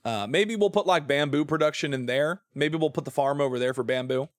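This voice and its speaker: A man's voice: on the high side, brisk, no echo, clean studio sound. An American man chatting casually while he plays on a livestream, thinking aloud in a rambling way. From southern-adjacent United States. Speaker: game streamer. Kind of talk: casual livestream chat while playing a building game.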